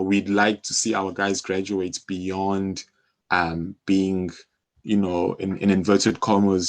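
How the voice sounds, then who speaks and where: Man, South African accent, very low pitch, casual interview talk, explanatory, conversational check-ins. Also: creaky, darkish tone. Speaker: music publishing company co-founder, interview guest.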